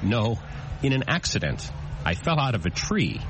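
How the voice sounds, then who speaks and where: A frank football coach in a scripted English-lesson interview. His voice is fairly low and hugely animated in pitch. Clear outdoor noise sits behind his short, simple answers. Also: normal speed. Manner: plain, short simple sentences.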